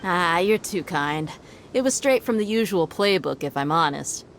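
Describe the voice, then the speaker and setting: A woman's voice: fairly low pitch, expressive, normal speed, faint music behind. A woman voicing a confident female desert mercenary in a game cutscene, cocky and teasing. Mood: smug. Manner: cocky, teasing, laid-back. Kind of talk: video game cutscene dialogue.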